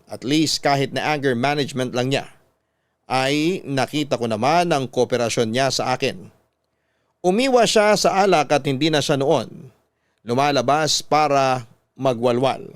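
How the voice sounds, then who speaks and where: An earnest Filipino storyteller played by a man narrates a radio drama in a smooth, slightly high male voice. The delivery is measured, sincere and confessional, recorded close and dry. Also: expressive, no echo, natural voice. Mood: earnest, narrating. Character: earnest, reflective male storyteller recalling a troubled former lover. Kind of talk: scripted first-person radio story narration.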